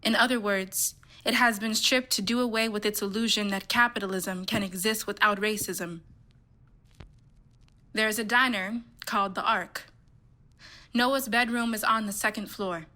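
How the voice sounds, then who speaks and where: A woman's voice: mid-range voice, fairly even intonation, normal speed, sharp tone. A woman reading stage directions as the narrator of a play, in scripted narration.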